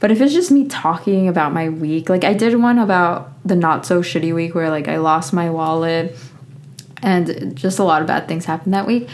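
A woman's voice: on the low side, normal speed, a little mellow. A young woman hosting a podcast in casual chat, talking loosely with lots of filler words. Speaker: podcast host.

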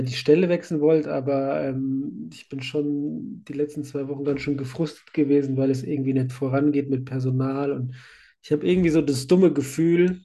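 A man's voice: a little high, dark tone. A man in his thirties with a cold, hosting a casual podcast and rambling along cheerfully with frequent laughs. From Germany. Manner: chatty, laughs often, rambling. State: has a cold. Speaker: podcast host, site manager.

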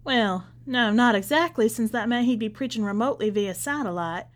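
A woman's voice: fairly high pitch, moderate pace, lightly acted. Woman acting a chatty, folksy church lady. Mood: explaining. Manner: chatty, folksy Southern-style church talk. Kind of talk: acted storybook dialogue.

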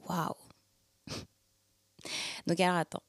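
A young adult woman from the Paris region talking through a personal reflection in a thoughtful, searching way, with many pauses and fillers. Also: fairly low pitch, level tone, a bit bright, no echo. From Paris region, France. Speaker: speaker sharing personal testimony.

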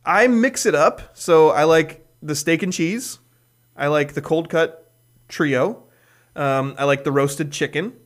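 A man's voice: a little high, slow. A man from Hawaii hosting a podcast, chatting casually and often laughing while he talks. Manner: chatty, laughs while talking, puts on mock voices. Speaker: podcast host. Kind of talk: casual chat.

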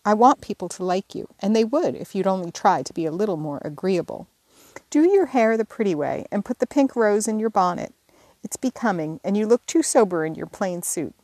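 A woman's voice: mid-range voice, expressive, fairly quick, darkish tone, no room sound, lightly acted. A woman narrator puts on a fussy young sister who coaxes, scolds and gives orders in a slightly lifted, eager voice. The recording is close and dry, with no room around it. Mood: instructing. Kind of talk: audiobook character reading.

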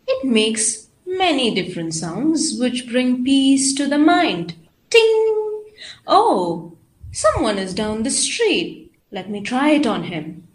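A woman playing a playful, unhinged female scientist in an audio drama, with a theatrical, deliberate delivery. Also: high voice, full character voice. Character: playful unhinged young woman scientist wielding a tuning fork.

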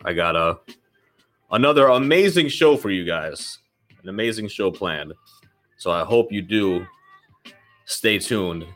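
Low-voiced man hosting a casual podcast. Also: lively intonation, unhurried, pauses often, fairly bright tone, faint music behind. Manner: loose, rambling, swears casually. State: slightly nasal from a cold. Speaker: podcast host. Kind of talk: casual solo podcast talk.

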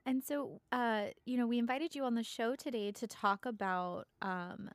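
A woman's voice: fairly high pitch, moderate pace, no echo. A woman hosting a podcast interview, friendly and encouraging with her guest. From California. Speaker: podcast host.

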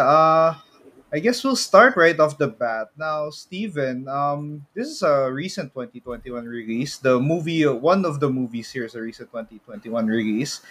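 A man co-hosting a podcast in casual chat, often halting and repeating his phrases as he restarts. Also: mid-range voice, a bit bright, husky. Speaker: podcast co-host. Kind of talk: casual chat about video game movies.

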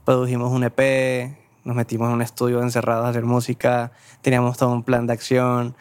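A man's voice: mid-range voice, completely flat delivery, a little fast, darkish tone, clear, resonant tone. A young man from Cartagena with a Colombian Caribbean coast accent, a band member guest telling stories in a jokey, self-mocking way. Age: young adult.

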